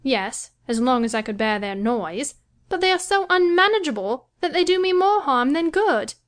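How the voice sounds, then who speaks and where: High-voiced young wife, self-pitying and self-important, forever complaining, period novel dramatisation female actor performing. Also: sing-song, normal speed. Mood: aggrieved, complaining. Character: self-pitying, querulous young married gentlewoman, fancying herself ill. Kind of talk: dramatised classic novel reading.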